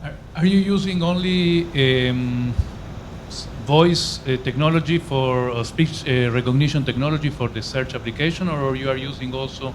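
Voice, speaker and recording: A man in the audience asking a question, halting and filled with "uh"s. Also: medium pitch, background noise. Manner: halting, many "uh"s.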